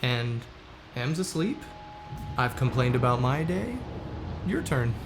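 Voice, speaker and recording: A man's voice: medium pitch, sing-song, slow, music in the background. Man playing a frustrated husband, mid-range voice, earnest, pressing, easily wounded, audio drama. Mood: earnest, apologetic. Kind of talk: musical audio drama acting.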